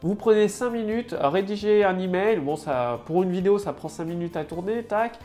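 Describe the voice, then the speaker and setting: A woman's voice: mid-range voice, lively intonation, moderate pace, warm tone, soft background music. A woman with a head cold giving a casual marketing tutorial to camera, loose and full of fillers and restarts. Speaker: online marketing coach.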